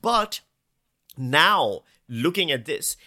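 Animated man, bright and lively. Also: a little high, extremely slow. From Pakistan. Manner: self-mocking, animated storyteller, laughs often. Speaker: podcast co-host. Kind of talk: casual comedic chat.

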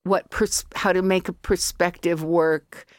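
A woman's voice: fairly low pitch, steady tone, a little slow, no echo, studio quality. A middle-aged American woman from Colorado, an artist and academic, chatting in a podcast interview and drifting into opinionated tangents.

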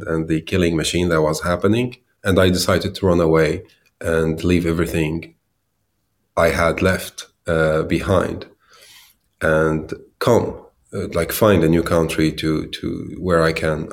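Deep-voiced Syrian-born man, halting speech. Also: fairly even intonation. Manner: hesitant, many fillers and restarts. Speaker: startup founder and CEO. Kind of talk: interview answers.

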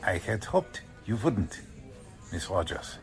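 An actor playing a coldly polite Nazi agent in a radio drama, with a German accent and formal, deliberate, threatening phrasing. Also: male voice, fairly low pitch, big pitch swings, gravelly, faint music behind, exaggerated voice. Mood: polite, sinister. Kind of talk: 1940s radio drama acting.

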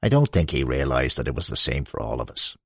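Male narrator for a sports biography audiobook; deep register, scripted read, dry studio-tight sound. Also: very animated, fast talker, vocal fry, husky, dry recording. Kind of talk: scripted narration of a football biography with quoted recollections.

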